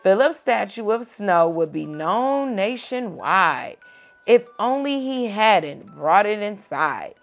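A young woman reading a picture book aloud to a child at home, gentle and expressive. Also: mid-range voice. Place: home bedroom, indoors.

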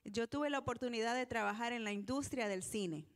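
A woman with a fairly high voice speaks publicly from a church stage. She addresses the congregation in the role of host and interpreter, delivering each phrase for the people seated before her. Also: fairly quick.

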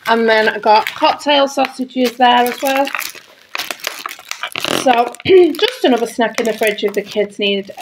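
A British woman chatting casually and informally as a family vlogger, often repeating words for emphasis, recorded indoors at home. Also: a little high. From Britain. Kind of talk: casual grocery haul chat to camera. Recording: clear background noise, packaging crinkling. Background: crinkling packets, clicks, handling noise.

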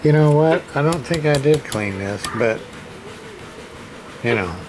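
A man giving a casual hands-on repair demonstration, thinking aloud in a hesitant, halting way as he goes. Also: mid-range voice, animated. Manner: hesitant, halting, muttering to himself. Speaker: hobbyist radio repair presenter. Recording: clear background room noise.

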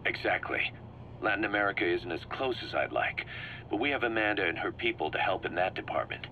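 A man's voice: deep voice, lively intonation, fairly quick. A man playing a driven, shrewd mercenary commander in a video game, briefing his boss in an emphatic, assured way. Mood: assured, persuasive. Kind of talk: scripted video game dialogue, explaining plans. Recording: muffled cassette-like sound with background hum.

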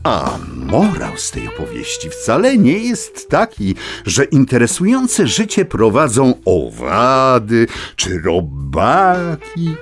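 An actor playing a whimsical storyteller, narrating a children's audio fable with dramatic, savoring pauses. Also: male voice, mid-range voice, wildly swooping pitch, faint music behind, heavily acted. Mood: wonder, storytelling.

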